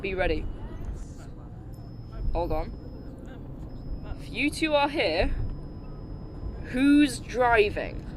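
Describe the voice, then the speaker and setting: An actress playing a dry, weary but authoritative military commander in an audio drama, clipped and wry. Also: female voice, a little high, big pitch swings, very slow, long pauses, full character voice. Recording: noisy moving vehicle outdoors.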